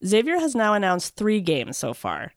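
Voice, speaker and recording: A woman's voice: mid-range voice, wildly swooping pitch, normal speed, crowd noise. Woman hosting a scripted podcast. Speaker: podcast host. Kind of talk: scripted narration and interview.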